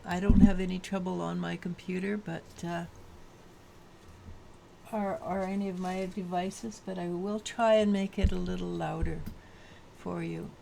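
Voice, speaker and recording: A woman with a low voice giving a daily tarot reading, halting and full of restarts. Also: little pitch movement, creaky, background noise. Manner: halting, many restarts and fillers. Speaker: tarot reader.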